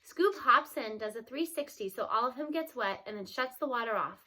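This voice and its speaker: A woman reading a novel aloud, expressive, with lively shifts in tone. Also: a little high, a little fast, low-quality recording. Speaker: reader.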